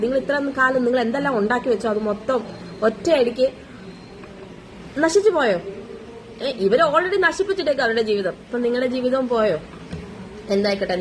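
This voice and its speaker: A woman talks as a commentary vlogger. She is exasperated and moralizing, piling up rhetorical questions. The amateur recording carries clear noise. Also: fairly high pitch. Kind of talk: casual opinion commentary on gossip.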